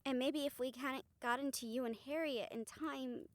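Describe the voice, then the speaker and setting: A woman playing a gentle, supportive rehabilitator comforting a friend in an intimate audio roleplay, speaking softly, earnestly and a little hesitantly. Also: high voice, fairly even intonation, moderate pace, no echo, natural voice. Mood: earnest, reassuring. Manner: soft, hesitant, reassuring, earnest.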